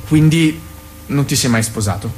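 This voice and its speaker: An actor playing an earnest, gentle man visiting an old love, in a plain-spoken naturalistic radio drama style. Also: medium pitch, moderate pace, a little hiss or hum, close to an everyday voice.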